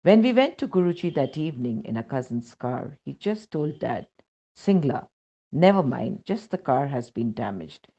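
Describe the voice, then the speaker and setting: A woman's voice: very deep voice, very animated, moderate pace, warm tone, highs cut off. A woman with an Indian accent reading a first-person devotional account aloud as scripted narration. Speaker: reader of a devotional testimony.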